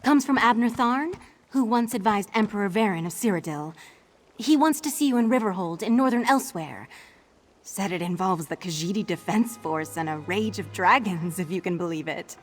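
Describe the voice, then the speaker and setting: A woman acting a courteous, slightly wry messenger in a fantasy video game, with mid-pitched delivery. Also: expressive, normal speed, faint music behind, lightly acted. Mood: polite, wry. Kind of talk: video game character dialogue.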